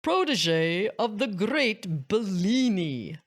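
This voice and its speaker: A woman voicing an excitable, profit-minded male show agent in a storybook, gleeful and proclaiming. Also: medium pitch, slow, a faint crowd.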